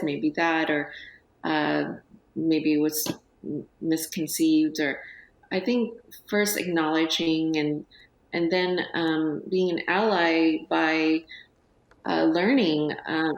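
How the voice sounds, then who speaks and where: Soft-spoken woman, low and slow. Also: reverberant, rough recording. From United States. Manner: soft-spoken, hesitant with many fillers. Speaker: guest, business owner. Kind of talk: TV interview. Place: TV studio.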